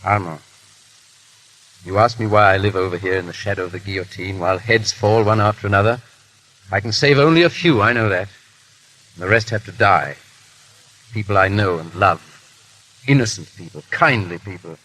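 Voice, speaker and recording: Low-voiced English gentleman hero. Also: normal speed, pauses often, rough recording. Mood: resolute, impassioned. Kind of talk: 1930s radio acting. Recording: hissy 1930s radio broadcast.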